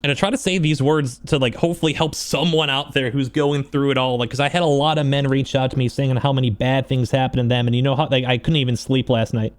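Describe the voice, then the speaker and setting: A man speaking off the cuff in a personal vlog, upset and close to crying, halting and repeating himself earnestly. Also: medium pitch, fairly even intonation. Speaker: streamer and video creator. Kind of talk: unscripted personal vlog monologue.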